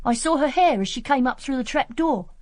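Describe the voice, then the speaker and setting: High-voiced cheeky English country boy. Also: expressive, a little fast, darkish tone, no echo. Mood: matter-of-fact. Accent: rural English. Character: cheeky, matter-of-fact English country schoolboy. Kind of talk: radio drama acting.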